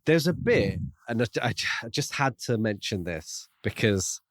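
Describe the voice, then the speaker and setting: A man with a slightly low voice in a dry, close recording. He is a podcast co-host chatting casually about a book, with a jokey, giggly manner of retelling. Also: sing-song, a little slow, dry recording.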